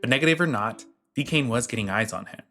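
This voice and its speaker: A man's voice: medium pitch, lively intonation, fast, pushed and bright. A man reading a scripted commentary voice-over, blunt and sarcastic. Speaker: commentary channel host.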